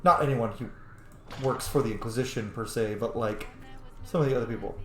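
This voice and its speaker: A man giving casual, animated gameplay commentary, laughing often and swearing freely. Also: medium pitch, brisk, echoey room, soft background music.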